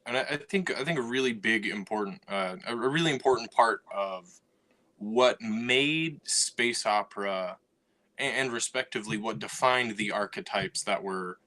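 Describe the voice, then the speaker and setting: Male co-host, low pitch, bright and forceful tone, steady explainer. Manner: measured, explanatory, filler "you know". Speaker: podcast co-host, writer. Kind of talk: casual chat.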